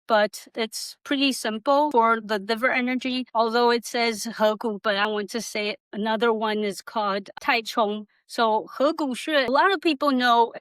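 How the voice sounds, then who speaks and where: A woman's voice: on the high side, steady tone, normal speed, few pauses, a bit bright, clear, resonant tone, no echo, low-quality recording. A middle-aged woman from Taiwan giving an informal workshop talk, rambling and conversational, with non-native English grammar. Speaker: workshop presenter, acupuncturist.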